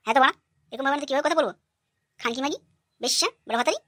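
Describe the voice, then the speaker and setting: A brash, hot-tempered young son-in-law in a cartoon comedy, played by a woman, rants at breakneck speed. The voice is fairly high and very dry, full of grievance. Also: expressive, very fast, fairly bright tone, dry recording. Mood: angry, ranting. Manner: brash, ranting.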